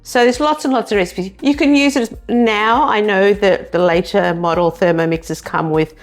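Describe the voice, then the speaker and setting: A woman's voice: medium pitch, normal speed, faint music behind. Chatty South African woman cooking. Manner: chatty, explains steps as she works. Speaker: cooking show co-host. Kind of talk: casual cooking demonstration.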